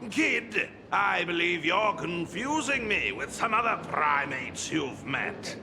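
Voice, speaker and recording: A dignified, wry male storyteller talks slowly in a gravelly voice with theatrical swings of pitch. There is clear noise and ambience around this game character. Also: very animated, long reverb tail, exaggerated voice. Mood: dry, offended. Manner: measured, theatrical, dry. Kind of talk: video game narration and character acting.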